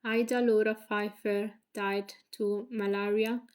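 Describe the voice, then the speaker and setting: A young woman with an Italian accent reads her prepared thesis presentation in English. Her delivery is flat and even, careful and measured, with the odd slip as she corrects herself. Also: mid-range voice, clear, resonant tone. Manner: careful, measured reading with slips. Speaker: graduate student.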